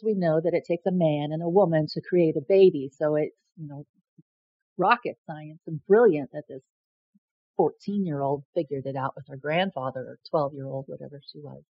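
A woman's voice: sits low, moderate pace, slightly rough. A middle-aged woman hosting her own show, talking straight to the viewer in a rambling, casual way and often restarting her sentences. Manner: rambling with frequent restarts and repeated words. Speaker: host and self-described presidential candidate. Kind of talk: casual direct-to-camera monologue. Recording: dull lo-fi dry sound.

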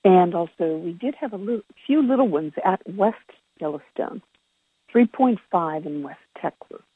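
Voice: medium pitch, animated, measured pace, husky. A person giving an informal spoken report from notes on a conference call, in a conversational way with self-corrections, heard over a telephone line. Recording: tinny, phone-like conference call line with echo.

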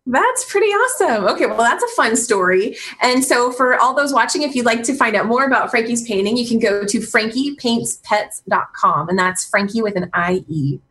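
A woman's voice: a little high, animated, normal speed, hardly any pauses, a bit bright. A woman hosting a radio interview show in a casual, encouraging way. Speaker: radio show host and coach.

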